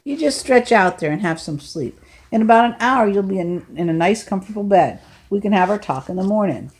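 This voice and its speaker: A folksy, teasing old grandfather in an audiobook story, put on by a woman reader. He jokes and ribs in a bright, raised voice, louder and higher than the narration around him. His manner is kindly and good-humored. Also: expressive, a little fast, close to an everyday voice. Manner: folksy, joking, warm-hearted teasing. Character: teasing jovial older man, a grandfather driving.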